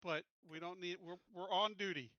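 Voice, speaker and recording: A man's voice: high-pitched, fairly even intonation, normal speed, sharp tone, dry recording, muffled recording. A man playing a tabletop game, chatting casually and assertively organising tactics with the others.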